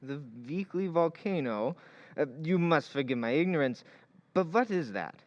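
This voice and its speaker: A man's voice: fairly high pitch, expressive, moderate pace, close to an everyday voice. Man acting courteous music teacher, slightly muffled. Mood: polite, curious. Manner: polite, hesitant, careful phrasing. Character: courteous, humble male music teacher, gently earnest. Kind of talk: old-time radio drama acting.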